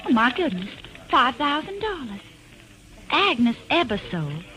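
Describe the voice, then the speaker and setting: High, coy Southern belle. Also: female voice, very animated, a little slow. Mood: coy, flattering. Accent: put-on Southern US belle. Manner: honeyed, coy, playful. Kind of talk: 1940s-50s radio drama acting. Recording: noisy vintage radio drama recording.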